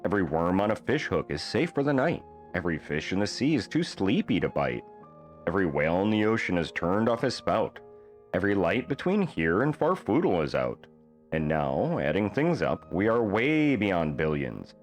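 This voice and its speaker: A man reading a rhyming children's bedtime story aloud in a playful, theatrical manner with deliberate phrasing. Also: sits low, very animated, soft background music. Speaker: storybook reader.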